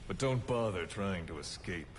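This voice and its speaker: A man playing a calm, sadistic military interrogator in a video game, speaking deliberately and tauntingly. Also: sits low, noisy background. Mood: cold taunting.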